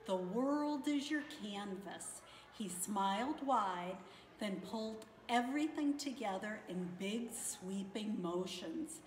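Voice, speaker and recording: Unhurried woman reading, echoey room. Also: medium pitch, animated. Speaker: school staff member reading aloud. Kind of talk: picture book read-aloud. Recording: echoey room with faint noise.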